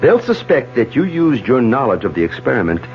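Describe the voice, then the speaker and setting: An actor playing an anguished, earnest man in a 1970s radio drama, speaking urgently and haltingly with heavy emphasis. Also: medium pitch, sing-song, slight background noise. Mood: grave, persuading. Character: anguished, earnest man protecting a troubled woman. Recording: dull 1970s AM radio broadcast, faint music.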